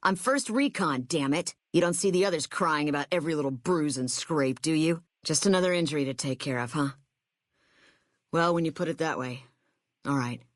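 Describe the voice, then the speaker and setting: A woman's voice: low voice, moderate pace, no room sound, natural voice. A woman voicing a brash, hard-bitten female army sniper corporal in a video game, with a blunt, sardonic, tough delivery. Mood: angry, defensive, then grudging, resigned.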